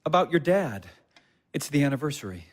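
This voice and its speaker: A man's voice: fairly high pitch, sing-song, a little fast. A man playing an earnest young husband, hesitant and conscientious, in a naturalistic audio drama. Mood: earnest, uneasy.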